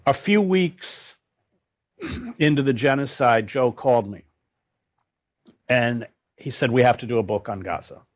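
An older man speaking as a journalist in an interview, recounting his work in a grave, deliberate, halting way. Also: medium pitch, lively intonation.

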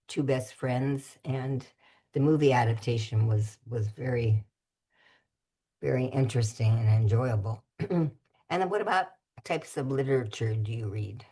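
Very low-voiced husky woman host. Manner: relaxed, conversational, searching for words. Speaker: radio host. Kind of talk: community radio interview.

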